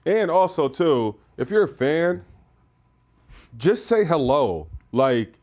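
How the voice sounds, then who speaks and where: A man's voice: fairly high pitch, very animated, slow, darkish tone. A man chatting casually as a co-host on a sports podcast. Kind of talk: casual chat.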